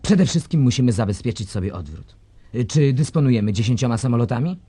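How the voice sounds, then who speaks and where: A man's voice: mid-range voice, expressive, brisk, dark tone, slightly rough, no echo. An actor playing a cynical, scheming male government minister in a radio drama, sardonic and chuckling as he plots. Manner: sly, sardonic, chuckles while plotting.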